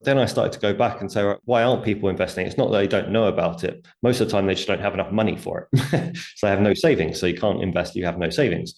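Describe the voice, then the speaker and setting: A man with a low-pitched voice talks as an interview guest, laying out his reasoning step by step in conversational speech. He slips into imagined quotes as he explains. The room echoes noticeably around his voice. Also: fast talker, gravelly, darkish tone. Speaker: interview guest, writer and speaker on money. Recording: roomy, echoing sound.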